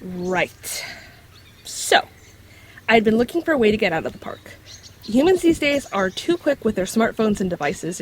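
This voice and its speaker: A woman's voice: mid-range voice, slight background noise, natural voice. A woman voicing a knowledgeable magical creature in dog form, explaining things matter-of-factly with dry wit in an audio drama. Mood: reminiscing. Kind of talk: scripted audio drama acting.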